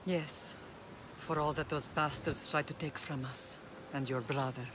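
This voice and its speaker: A man's voice: on the high side, steady tone, measured pace, background noise, close to an everyday voice. An actor voicing a grim, determined male island leader in a video game cutscene, speaking steadily and earnestly. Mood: resolute.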